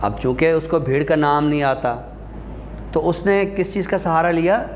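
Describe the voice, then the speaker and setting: Man lecturing over noticeable background noise. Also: on the high side. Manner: deliberate, pausing, poses and answers own questions. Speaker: lecturer teaching Urdu rhetoric. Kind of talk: explanatory class lecture.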